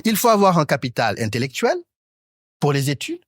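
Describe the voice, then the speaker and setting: A man in his thirties from Senegal, speaking as a talk show panelist in an articulate, academic way, often pausing on hesitant fillers. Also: fairly high pitch, big pitch swings, no echo, studio quality. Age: 30s. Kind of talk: panel discussion.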